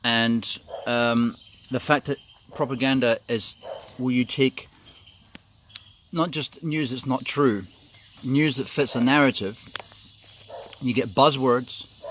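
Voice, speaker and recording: A man's voice: mid-range voice, steady tone, slow pace, fairly bright tone, a little hiss or hum. A middle-aged man from New Zealand giving an informal, opinionated monologue, rambling and hesitant as he goes. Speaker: video commentator.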